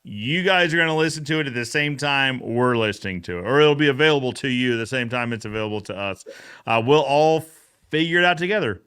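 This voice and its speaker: A man hosting a casual, bantering recap show in an animated, informal way. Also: medium pitch, fast talker, clear, resonant tone. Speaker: show host.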